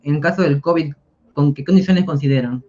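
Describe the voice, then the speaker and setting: A man's voice: fairly high pitch, brisk, darkish tone, muffled recording. A man moderating an online talk, reading audience questions politely with small hesitations.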